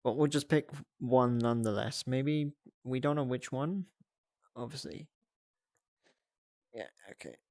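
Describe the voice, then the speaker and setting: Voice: medium pitch, measured pace, pauses often, darkish tone, no room sound. Giggly streamer just chatting away, takes their time, close dry mic. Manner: giggly, chatty, thinking aloud. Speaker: livestreamer hosting a reaction stream. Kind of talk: casual livestream chat.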